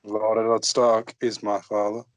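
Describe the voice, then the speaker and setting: A man's voice: fairly low pitch, level tone, a little slow, no room sound, close to an everyday voice. A man reading the part of a proud, guarded young nobleman's bastard son in an amateur fantasy table read. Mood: defiant.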